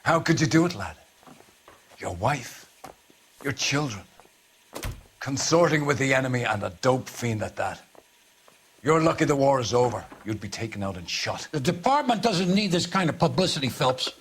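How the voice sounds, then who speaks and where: A man's voice: medium pitch, very animated, normal speed, pauses often, a little hiss or hum, lightly acted. An older man playing a stern, indignant, fatherly police captain in a dramatic video game. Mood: indignant, disappointed.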